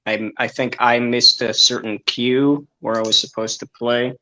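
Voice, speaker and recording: A man from Israel, a band member guest, answering interview questions in a thoughtful, hesitant way with many pauses. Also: medium pitch, steady tone, pushed and bright. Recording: dull lo-fi sound.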